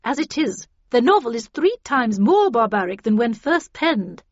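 A severe, cold woman of authority , played by a female narrator, lays down the law with formal emphasis and a raised pitch. The audiobook sound is close, dry and a little dull. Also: sing-song, moderate pace, dry recording. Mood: cold, urgent. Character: stern, cold, commanding woman official. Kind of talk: audiobook character dialogue. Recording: dull, band-limited audio.

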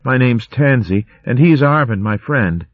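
A man's voice: mid-range voice, lively intonation, a little slow, no echo, phone-quality sound, voice pushed up, close to an everyday voice. A man voicing an eager young female hedgehog in a storybook reading. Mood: lively.

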